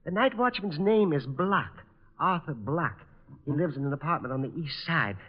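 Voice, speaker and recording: A prim, fussy businessman in an old radio detective play. His voice is high and swoops nervously, with hesitant, formal phrasing. The sound is dull and dated. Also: expressive, normal speed, breathy edge, in a large hall. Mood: anxious, prim. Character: fussy, nervous male shop manager client. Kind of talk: 1940s-50s radio drama acting.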